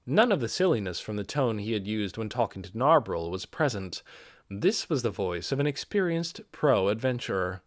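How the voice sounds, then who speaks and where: Expressive man narrating, very lively pitch. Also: moderate pace, dry recording, studio quality. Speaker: amateur audiobook reader. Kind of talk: scripted fantasy novel narration.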